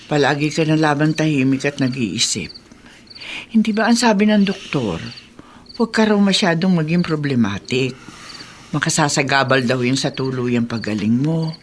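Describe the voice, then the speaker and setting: A worried older mother, speaks with motherly fretting and gentle scolding in radio-drama style. Her intonation rises and falls freely over faint background ambience. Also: sits low, animated, moderate pace, slight background noise. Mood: fretful concern. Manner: fretful, motherly, coaxing. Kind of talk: Filipino radio drama acting.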